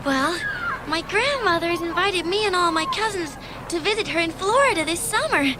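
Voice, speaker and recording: Casting: woman as a sensible, friendly young girl for audio drama, very high-pitched and lively, with clear ambient noise behind. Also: moderate pace. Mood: excited. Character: practical, cheerful young girl, a loyal best friend.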